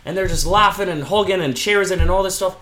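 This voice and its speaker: A man's voice: high voice, quick pace, echoey room. A man co-hosting a podcast, chatting casually about a movie and telling jokes with lots of fillers. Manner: animated, loose joke-telling with fillers. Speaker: podcast co-host.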